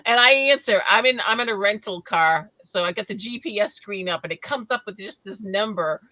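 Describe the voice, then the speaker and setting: A woman's voice: medium pitch, brisk, pushed and bright, dry recording, rough recording. A woman from Massachusetts with a mixed Northern Massachusetts and Southern New Hampshire accent with Scottish and English traces, co-hosting a casual live stream, reading chat aloud and laughing often.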